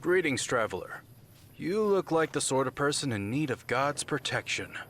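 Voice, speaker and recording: Sanctimonious wasteland preacher-trader, faintly noisy. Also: male voice, mid-range voice, very animated, moderate pace, fairly bright tone. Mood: pious, solemn. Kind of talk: video game dialogue acting.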